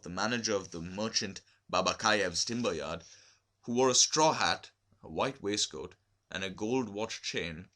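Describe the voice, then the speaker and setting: Guy reading a classic short story out loud, lowish voice, forceful and expressive. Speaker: amateur audiobook reader. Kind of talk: scripted literary narration.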